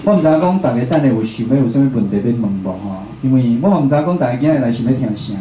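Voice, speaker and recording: A man's voice: medium pitch, lively intonation, very fast, warm tone. A man with a Taiwanese accent, a radio host, giving an informal, conversational public talk and often checking in with his listeners. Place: indoor room at a public talk. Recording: roomy talk venue with faint noise.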